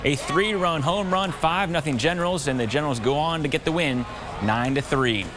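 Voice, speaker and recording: A man delivering scripted sports news and highlight narration as a TV reporter and anchor. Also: normal speed, background noise.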